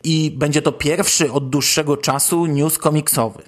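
A man with a slightly high voice presents news as a podcast host. He adds his own commentary as he goes. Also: fairly even intonation, normal speed.

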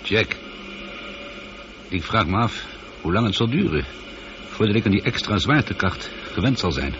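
Wry male astronaut played by a man, low pitch, musing, radio drama, dull noisy old recording. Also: expressive, moderate pace, close to an everyday voice. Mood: uneasy. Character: male lone astronaut, wry, slightly uneasy.

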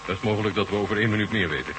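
An actor playing a Russian marshal in a radio drama, authoritative and plain-spoken. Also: male voice, fairly low pitch, very fast, close to an everyday voice. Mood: worried. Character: Russian marshal in command of a space base. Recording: humming noisy old radio recording.

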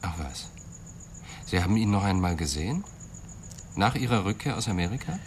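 A man playing an intense, quietly insistent police investigator with deliberate, measured speech in a radio crime drama. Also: low-pitched, expressive, slight background noise. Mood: probing.

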